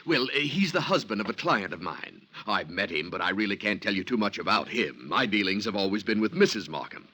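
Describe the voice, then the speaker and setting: An actor playing a genial, hesitant, fussy insurance agent in a 1950s radio drama. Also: male voice, lowish voice, sing-song, brisk, few pauses, pushed and bright, heavily acted. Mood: obliging, hesitant.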